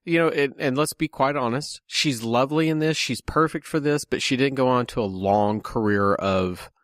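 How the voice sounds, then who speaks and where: A man's voice: medium pitch, normal speed, no room sound, clean studio sound. A man co-hosting a film podcast in a casual, joking way while getting over a head cold. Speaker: podcast co-host. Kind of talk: casual chat about a film.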